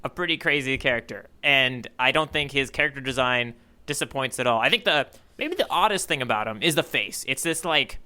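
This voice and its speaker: A man's voice: mid-range voice, expressive, brisk, bright, forceful tone, clean tone. A man co-hosting a podcast, chatting casually and thinking aloud with a wry, joking streak. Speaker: podcast co-host. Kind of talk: casual chat discussing a manga.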